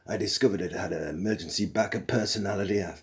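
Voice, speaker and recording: Careless swaggering captain, casual and slightly fumbling, low voice; male reader. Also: fast talker. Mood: sheepish. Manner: casual, offhand, stumbling. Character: laid-back, careless male space captain. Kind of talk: audiobook character voice.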